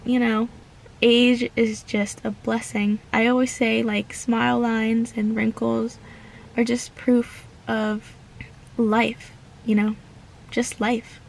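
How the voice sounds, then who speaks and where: A woman's voice: a little high, vocal fry, slight background noise, low-quality recording. A woman about to turn twenty, vlogging from inside her car in an earnest, grateful, rambling way, using filler words as she talks into her phone. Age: late teens. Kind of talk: reflective casual vlog.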